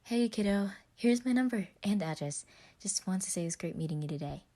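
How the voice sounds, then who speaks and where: A woman's voice: mid-range voice, expressive, a little fast, mellow, pitched above the performer's own voice, natural voice. A woman voicing a bubbly, friendly man who is easily flustered and talks in a folksy, rambling way. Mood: cheerful, friendly.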